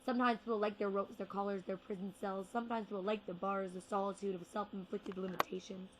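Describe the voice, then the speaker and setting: A woman's voice: mid-range voice, little pitch movement. Young woman reciting slam poetry, noisy recording. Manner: deliberate, rhythmic phrasing with repeated words. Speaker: slam poet. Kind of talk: spoken word poetry recital.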